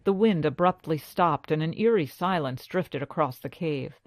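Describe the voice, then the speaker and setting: A woman storyteller reading scripted fantasy narration in a measured, dramatic way. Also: low voice, lively intonation, no room sound. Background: music.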